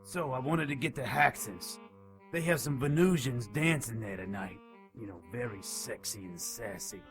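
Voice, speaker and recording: An actor playing a lecherous, melodramatic enchanted shopkeeper in an audio drama, whining and leering. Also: male voice, medium pitch, normal speed, a bit bright, soft background music, natural voice. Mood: boastful recounting. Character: sleazy, excitable male enchanted shopkeeper, panicky and lecherous.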